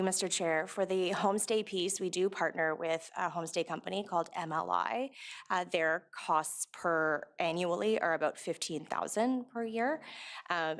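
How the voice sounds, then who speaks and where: A woman who coordinates a student program, reporting details in a businesslike way. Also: deep voice. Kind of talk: meeting answers.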